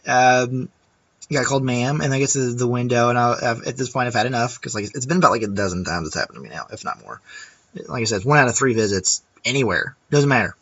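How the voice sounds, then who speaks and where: A man's voice: mid-range voice, animated, fast talker, a bit bright, dry recording, muffled recording. A man vlogger ranting casually to camera, chatty and rambling with restarts.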